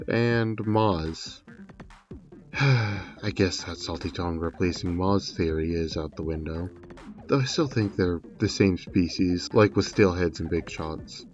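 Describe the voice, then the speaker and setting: Guy narrating a game breakdown, kinda low voice, chatty asides, faint music behind. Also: highs cut off. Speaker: video creator narrating. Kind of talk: scripted game analysis narration.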